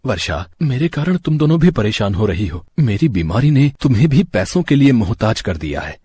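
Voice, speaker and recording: Man voicing an ailing, husky, downcast husband. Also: mid-range voice, very animated, normal speed, mellow. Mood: sad pleading. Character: sickly, guilt-ridden middle-aged husband and father. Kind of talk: animated moral story dialogue.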